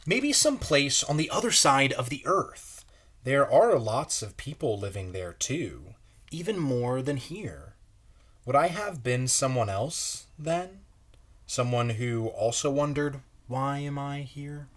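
A man in his thirties reading a children's picture book aloud in a measured, wondering way with pauses. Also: medium pitch, animated, a bit bright. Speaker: children's story reader. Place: small bright room at home, indoors.

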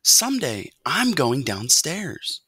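Woman doing a high, plucky boy mouse. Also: big pitch swings, takes it slowly, a bit bright, husky, dry recording, full character voice. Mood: defiant. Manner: eager, stout, defiant. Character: plucky, boastful young boy mouse eager for adventure. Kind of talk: children's storybook character voice.

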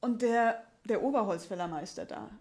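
A woman playing a casual female lumberjack in an improvised audio play, talking in halting, colloquial fragments. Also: mid-range voice, a little mellow, natural voice. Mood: hesitant, casual. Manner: halting colloquial chat, trailing off. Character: female lumberjack, casual, hesitant about a job offer. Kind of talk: improvised audio drama dialogue. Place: small dark curtained stage. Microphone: stand microphone.

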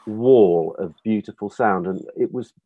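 Low-voiced middle-aged man, enthusiastic interviewee. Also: unhurried, narrow, tinny audio, heavy background noise. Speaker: interviewed film director. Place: home room lined with records. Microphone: video call.

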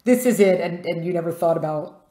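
A woman's voice: fairly low pitch, fairly quick, dark tone. Woman interviewer in an echoey room. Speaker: podcast host interviewer. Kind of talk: casual interview chat. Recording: roomy, echoing sound.

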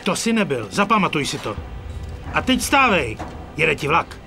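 An actor playing a coarse, hot-tempered mob enforcer in a dubbed game cutscene, full of threats. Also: male voice, a little high, big pitch swings, vocal fry, pushed and bright, heavily acted. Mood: menacing. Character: hot-tempered foul-mouthed mobster, menacing enforcer. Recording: music behind the voice.

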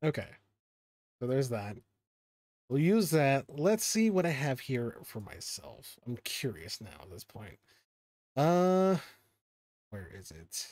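A man's voice: medium pitch, sing-song, slow, long pauses, darkish tone, no echo, clean studio sound. Rambling young male game streamer. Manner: rambling, stop-start, swears under his breath. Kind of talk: casual live-stream chat while gaming.

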